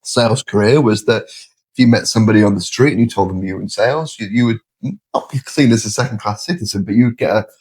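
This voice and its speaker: A middle-aged man with a British accent explaining his views in a measured, conversational way as an interview guest. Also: fairly low pitch, darkish tone, husky. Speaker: interview guest, sales leader.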